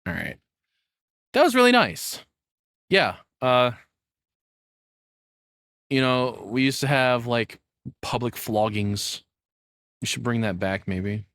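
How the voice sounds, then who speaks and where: A man's voice: medium pitch, very animated, takes it slowly, gravelly, long pauses, a bit bright, no echo, clean studio sound. A man chatting casually as a livestreamer, rambling and swearing in an informal way. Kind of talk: casual reaction stream chat.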